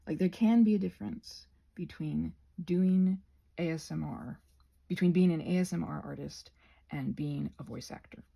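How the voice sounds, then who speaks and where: Husky lady rambling while she crafts, kinda deep soft dark tone, trails off and loses her words, sometimes nearly whispery. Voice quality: husky, often dropping to a near whisper. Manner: halting, meandering, self-deprecating, searching for words. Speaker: ASMR channel host.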